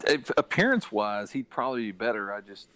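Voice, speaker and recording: A man's voice: on the low side, big pitch swings, fairly quick, bright, forceful tone, no room sound. A man giving short, informal opinions as a panelist in a casual chat.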